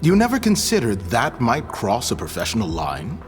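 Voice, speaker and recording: An actor playing a probing, skeptical questioner in an audio drama, with a pointed manner. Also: male voice, medium pitch, wildly swooping pitch, normal speed, faint music behind.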